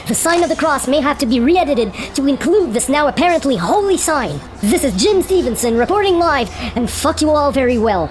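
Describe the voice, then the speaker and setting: A woman's voice: high voice, a little hiss or hum. A woman playing a sensationalist male reporter, dramatic and mock-solemn, in a parody radio-play news report. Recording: loud music behind the voice.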